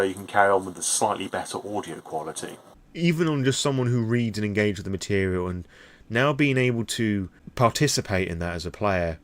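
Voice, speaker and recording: Low-pitched British man, candid and sweary. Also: a bit bright. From Britain. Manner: candid, reflective, hesitant, casually sweary. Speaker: vlogger. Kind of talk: impromptu personal talk to the audience.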